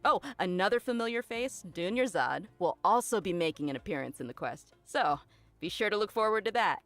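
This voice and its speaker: A woman's voice: mid-range voice, animated, fairly quick, a bit bright, soft background music. A woman co-hosting a game preview show, giving scripted updates and upbeat reactions.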